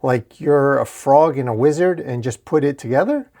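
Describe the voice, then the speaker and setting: A man's voice: mid-range voice, moderate pace, mellow, natural voice. A man voicing an exasperated, sarcastic half-dwarf, half-orc outcast in a comic fantasy story. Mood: mocking.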